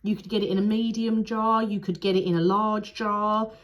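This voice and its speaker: A woman's voice: mid-range voice, flat delivery, normal speed, highs cut off. Nasal woman with a cold. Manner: chatty, frank, repeats "like I say". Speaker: candle reviewer. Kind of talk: casual product review vlog.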